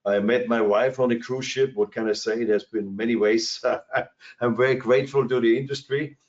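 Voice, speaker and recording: A man's voice: medium pitch, fairly even intonation, moderate pace, a little mellow, highs cut off. A man in his sixties from Austria with an Austrian German accent, speaking as a cruise industry executive on a webinar panel from his office, rambling through anecdotes with many fillers and restarts. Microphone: video call.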